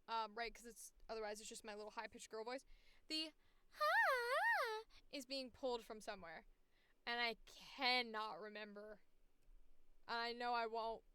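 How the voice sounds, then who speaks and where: A woman's voice: on the high side, pushed and bright, clear, resonant tone, no echo. A woman voice actor chatting casually on a livestream, informally thinking aloud as she works.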